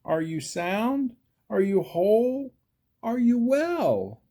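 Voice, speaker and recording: Man acting an earnest, high-pitched storybook elephant. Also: very animated, slow, warm tone, exaggerated voice. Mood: anxious, caring. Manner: pleading, exclamatory. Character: earnest, devoted, anxious gentle elephant.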